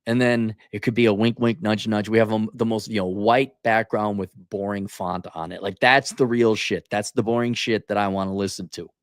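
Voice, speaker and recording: A man's voice: fairly low pitch, fairly even intonation, moderate pace, few pauses, clear, resonant tone, no room sound, studio quality. A man hosting an off-the-cuff opinionated online commentary, speaking in an exasperated, sarcastic, colloquial way. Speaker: online commentary host answering viewer comments. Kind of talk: off-the-cuff opinionated livestream rant.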